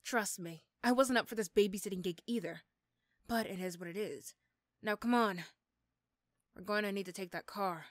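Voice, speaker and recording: A woman's voice: medium pitch, moderate pace, pauses often, no echo, natural voice. A woman playing a mean but grudgingly sympathetic supervillain in an ASMR roleplay, with a dry, teasing, condescending manner. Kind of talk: ASMR roleplay character acting.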